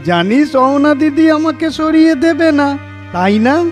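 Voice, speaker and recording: A man's voice: very high voice, animated, a little slow, faint music behind. A man voicing a haughty young wooden table in a children's moral cartoon. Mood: smug, taunting. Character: haughty young new wooden table, mocking, later sorry.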